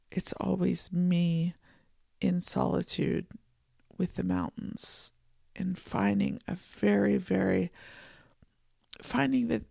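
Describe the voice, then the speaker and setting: A podcast host giving a soft, contemplative solo monologue from a home office, voice a little froggy this morning. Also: mid-range voice, vocal fry, slightly rough, no room sound, studio quality. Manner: soft, slow and contemplative, with gentle restarts. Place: home office and art studio.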